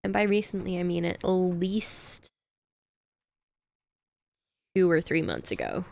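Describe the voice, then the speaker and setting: A woman's voice: fairly low pitch, big pitch swings, slow, gravelly, darkish tone. A woman streamer chatting casually to herself while she plays a game. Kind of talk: casual gameplay commentary.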